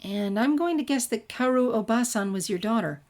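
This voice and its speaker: A confident young female ninja from a fan fiction story , played by a woman reader. Her pitch sits lifted, and she talks in a forthright, eager way. She sounds bold and sure of herself. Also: expressive, moderate pace, darkish tone, lightly acted. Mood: assured. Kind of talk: fan fiction character dialogue.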